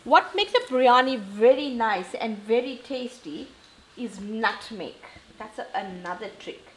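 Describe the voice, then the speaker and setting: A woman's voice: on the high side, expressive, measured pace. A middle-aged woman with a South African Indian English accent, chatting reassuringly as she demonstrates a recipe at home. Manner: chatty, reassuring, talks while cooking. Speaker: cooking show host. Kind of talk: casual cooking demonstration. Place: home kitchen, indoors. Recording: echoey kitchen with frying noise.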